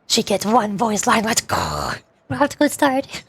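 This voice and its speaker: A woman's voice: mid-range voice, huge pitch swings, moderate pace, slightly rough. A woman streamer chatting casually and rambling, often giggling as she answers her chat.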